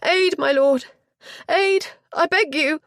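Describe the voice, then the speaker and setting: Shrill, panicked chaperone. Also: female voice, animated, normal speed, vocal fry, no echo, clean studio sound. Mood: frantic. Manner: high, quivering, near-shouting alarm. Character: frantic middle-aged female chaperone, shrill.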